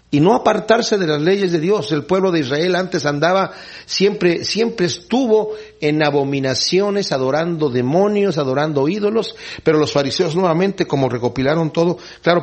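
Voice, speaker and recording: Husky man preaching a sermon. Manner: explanatory, acts out quoted speakers with pitch swings.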